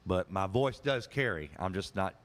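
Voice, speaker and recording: A man who is a city council candidate, answering interview questions in a loud, talkative, rambling way. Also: low-pitched, lively intonation, normal speed.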